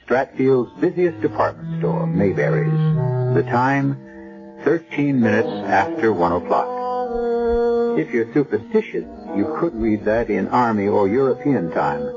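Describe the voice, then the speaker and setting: A man hosting a radio mystery drama, narrating with measured, deliberate pauses. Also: mid-range voice, big pitch swings. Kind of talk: theatrical scripted narration. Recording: dull old radio recording with music behind. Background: music.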